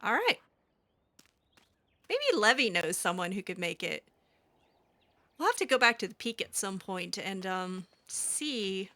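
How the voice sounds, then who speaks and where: A woman's voice: mid-range voice, sing-song, unhurried, pauses often, bright, forceful tone. A woman improvising in-character commentary on a game playthrough, theatrical, sarcastic and easily exasperated. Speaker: gamer roleplaying her character.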